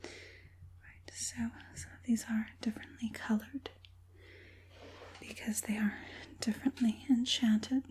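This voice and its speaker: Casting: a woman as an evil witch puppet master for ASMR roleplay, breathy whisper, slow and coaxing, quietly sinister. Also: fairly high pitch, fairly even intonation. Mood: softly businesslike. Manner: unhurried, coaxing, politely sinister.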